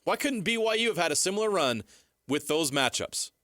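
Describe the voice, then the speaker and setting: High-pitched, animated American sports talker. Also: male voice, brisk, dry recording, clean studio sound. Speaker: sports radio co-host. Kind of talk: casual opinionated sports talk.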